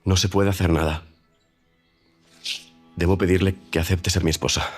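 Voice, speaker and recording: An actor playing a proud, reserved young gentleman who earnestly and formally declares his love in a period audio drama. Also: sits low, steady tone, moderate pace, soft background music.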